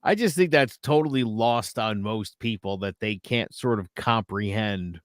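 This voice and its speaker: A man co-hosting a casual podcast, bringing up topics and telling stories in a relaxed way. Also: on the low side, unhurried, dry recording, clean studio sound. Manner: relaxed, storytelling, raises topics. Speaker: podcast co-host.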